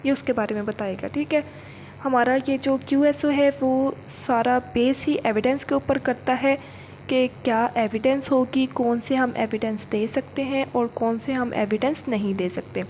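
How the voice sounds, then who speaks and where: A woman's voice: sits high, fairly even intonation, a little slow, darkish tone, slight background noise. A woman giving an informal law lesson, explaining step by step, repeating points with frequent check-in tags and mixing in English legal terms. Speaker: law lecturer.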